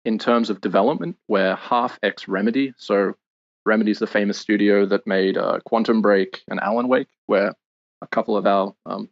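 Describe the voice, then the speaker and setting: An Australian man, a game lead designer, answering interview questions in a measured, explanatory way with filler pauses. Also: fairly low pitch. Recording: dull lo-fi audio.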